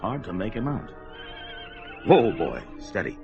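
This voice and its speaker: A bold young barbarian hero. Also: male voice, lowish voice, sing-song, measured pace, creaky, heavily acted. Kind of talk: 1940s radio acting. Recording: dull old radio recording with music.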